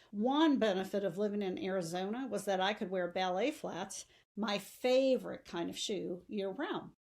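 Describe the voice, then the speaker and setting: A woman narrates a story for young readers in the manner of an audiobook. Her delivery is expressive, with a medium-pitched voice that carries the first-person narration. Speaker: teacher reading a novel aloud.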